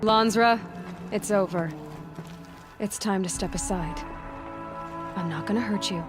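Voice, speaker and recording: A young huntress from a video game, acted by a woman speaks slowly and with restraint. She sounds weary but determined, searching for answers. Music plays clearly under her voice. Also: medium pitch, lightly acted. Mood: calm resolve. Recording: music behind the voice.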